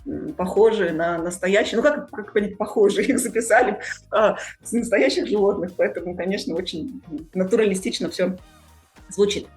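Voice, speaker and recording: A woman's voice: medium pitch, normal speed, a little mellow. A woman hosting a podcast, talking casually with her co-hosts. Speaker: podcast host. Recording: music in the background. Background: music.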